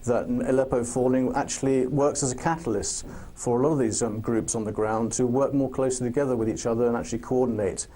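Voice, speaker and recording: A man speaking as a pundit in a political panel discussion, pausing to think and repeating phrases for emphasis. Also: medium pitch, fairly even intonation, dark tone.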